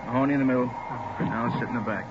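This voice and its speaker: An actor playing a dutiful, businesslike police officer on stakeout in a 1940s radio crime drama. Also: male voice, mid-range voice, steady tone, close to an everyday voice. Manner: brisk, businesslike. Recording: muffled, noisy old radio recording.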